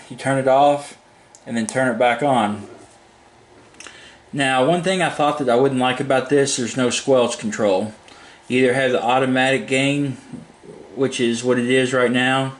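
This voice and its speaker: A man's voice: mid-range voice, a bit bright. A man giving a casual, unscripted product demo in a plain-spoken, informal, rambling way. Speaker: hobbyist product reviewer. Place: indoors at a home desk. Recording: echoey room, faint background noise.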